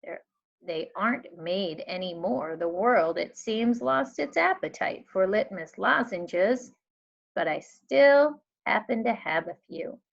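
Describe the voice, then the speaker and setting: A woman acts out a kindly old lady librarian from a storybook. She speaks with genteel, wistful measure at a middle pitch. The recording is a little dull. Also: animated, slow pace, vocal fry, heavily acted.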